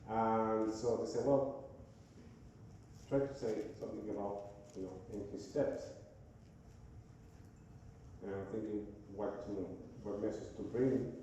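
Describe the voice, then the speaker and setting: A man with a Venezuelan Spanish accent, preaching as a guest speaker in a church, pausing often to search for his words. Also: lowish voice, steady tone, warm tone, slight background noise. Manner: halting, searching for words, frequent "uh" and restarts. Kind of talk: sermon. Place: church sanctuary. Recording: echoey hall from far off.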